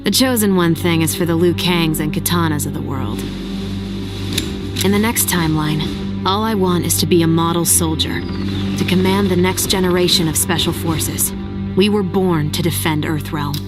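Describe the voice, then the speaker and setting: A woman's voice: lowish voice, normal speed, close to an everyday voice. A woman playing a brash young American soldier in a game cutscene, with a casual, slangy manner. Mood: cocky, wry. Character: brash, wisecracking young American female soldier, warm-hearted. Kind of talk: video game cutscene narration. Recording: loud music under the voice.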